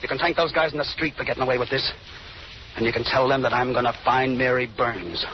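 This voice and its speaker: Actor playing a ruthless, cocky radio gangster. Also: male voice, medium pitch, quick pace, lightly acted. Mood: menacing. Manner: clipped, slangy tough talk. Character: ruthless cocky gangster boss, possessive of his girl. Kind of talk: 1930s-40s radio drama acting. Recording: noisy dull old radio recording.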